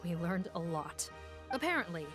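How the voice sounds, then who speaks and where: A woman's voice: lowish voice, big pitch swings, moderate pace, music in the background. Composed female officer. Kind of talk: video game voice acting.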